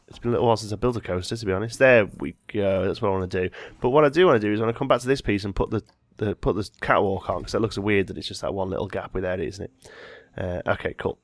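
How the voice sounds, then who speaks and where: A man's voice: lowish voice, quick pace, hardly any pauses, dry recording. A man with a slight head cold, hosting a let's play and thinking aloud as he builds, often correcting himself mid-sentence. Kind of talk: casual gameplay commentary.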